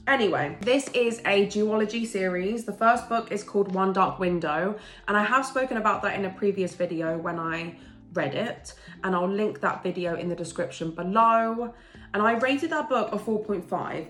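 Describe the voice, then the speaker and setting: A woman's voice: mid-range voice, a bit bright. Congested woman book vlogger, super enthusiastic, roomy echo, bit of music underneath. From England. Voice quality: stuffy, blocked-nose voice. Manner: gushing, enthusiastic, chatty. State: congested from a cold. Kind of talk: casual book review chat.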